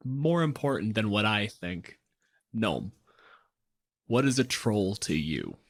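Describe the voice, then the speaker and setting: A man's voice: fairly low pitch, big pitch swings, slow. A man from Canada co-hosting a podcast in a conversational way. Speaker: podcast co-host, physicist.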